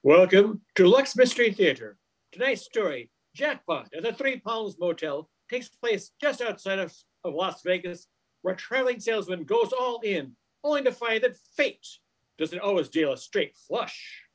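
A man's voice: high voice, animated, normal speed, poor audio. A man in his sixties reading scripted narration as the narrator of an old-time radio mystery. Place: living room at home. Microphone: video call.

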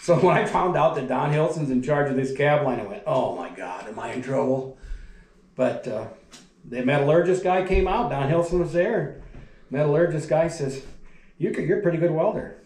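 An older man, a retired factory welder, telling plant stories in a folksy, rambling way that often drops off quietly. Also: on the high side, animated, moderate pace. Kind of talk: casual storytelling. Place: dining room at a wooden table. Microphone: camera across the table. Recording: echoey room.

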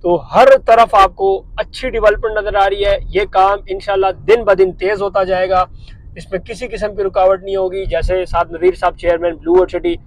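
A man's voice: high voice, little pitch movement, brisk, low-quality recording. Chatty man narrating a car tour. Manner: chatty salesman tone, addresses viewers directly. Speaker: property dealer vlogger. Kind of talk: driving tour commentary. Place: inside a moving car. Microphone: lapel mic.

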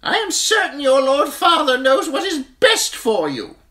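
A stern older governess, scolding and prim, her voice pitched up and swinging sharply, as a male reader puts her on. She sounds strict and firm, from a fantasy audiobook. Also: wildly swooping pitch, a little slow, bright, forceful tone, exaggerated voice. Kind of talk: audiobook character voice.

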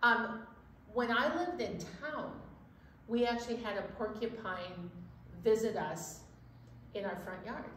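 A woman's voice: a little high, measured pace, long pauses. A middle-aged woman hosting a children's storytime and chatting in a library. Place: indoor storytime set at a library. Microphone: distant camera microphone. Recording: roomy, distant mic, steady background noise.

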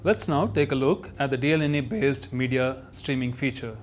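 A man's voice: mid-range voice, moderate pace. Male demo narrator over music. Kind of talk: scripted narration.

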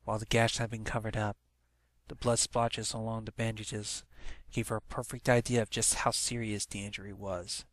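A man's voice: lowish voice, little pitch movement, moderate pace, no echo. A man reading a story aloud as narrator, in a dramatic storytelling manner. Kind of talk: scripted story narration, fan fiction read-aloud.

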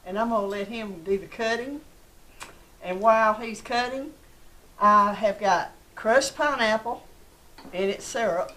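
A woman's voice: mid-range voice, a little slow, long pauses, a bit bright, rough recording. An older woman hosting a home cooking show, talking in a plain, homey, encouraging way at her kitchen table. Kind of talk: casual cooking show chat. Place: home kitchen and dining room.

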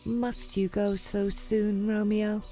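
A woman's voice: medium pitch, flat delivery, slow, mellow, faint music behind, lightly acted. An actress playing a lovesick young noble girl in a dramatized storybook, with an emotional delivery. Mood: tender, urgent.

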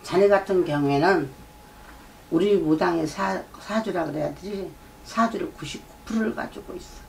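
A woman's voice: fairly low pitch, moderate pace, vocal fry, slight background noise. Lecturing middle-aged female shaman. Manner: lecturing, repeats herself, prompts with "eh?". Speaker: shaman fortune teller. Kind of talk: fortune-telling consultation. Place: shrine room with folding screen and drum. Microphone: far camera. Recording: distant mic, faint room ambience.

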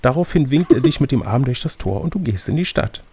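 Male game master, gravelly and slightly rough, casual storytelling with teasing asides. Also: medium pitch, expressive, a little fast, a little mellow. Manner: teasing, playful. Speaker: game master and reader of an adventure gamebook. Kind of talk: reading a gamebook aloud with casual banter.

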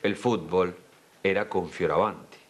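An Argentine man presents in a television studio. His voice is on the low side, and he delivers a spoken tribute to camera. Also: steady tone, a little slow, slight background noise. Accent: Argentine Spanish.

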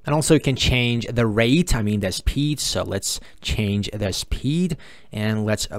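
A man, a native Persian speaker with a Persian accent, presenting a step-by-step software tutorial in an informal, explanatory and repetitive manner. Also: medium pitch, no echo. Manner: explanatory, repetitive, posing viewers' questions and answering them. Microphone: close mic, screen-recording voice-over.

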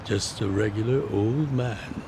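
An actor playing an older retired yakuza boss, weary and fatherly, in a slow, measured, reflective manner. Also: lowish voice, background noise, close to an everyday voice. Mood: calm, reflective. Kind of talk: video game cutscene dub.

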